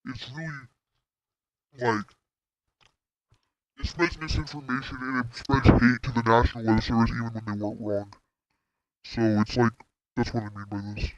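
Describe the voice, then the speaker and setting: A teenage boy with a raspy voice giving excitable, rambling live commentary on storm radar as a streamer, full of restarts. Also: medium pitch, moderate pace, pauses often, muffled recording. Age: teen.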